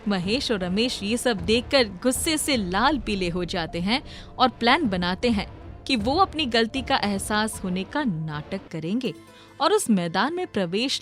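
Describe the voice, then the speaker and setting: A woman's voice: medium pitch, expressive, unhurried, fairly bright tone. A woman reading scripted moral-story narration as the storyteller. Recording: music behind the voice.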